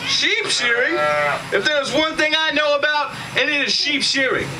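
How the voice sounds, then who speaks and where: A man's voice: extremely high pitch, moderate pace. A man voicing a boastful, scatterbrained pig in an amateur puppet show, with exaggerated delivery. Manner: boastful, exaggerated, easily distracted. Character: know-it-all, vain, scatterbrained pig puppet. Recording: distant mic, noisy outdoor farm.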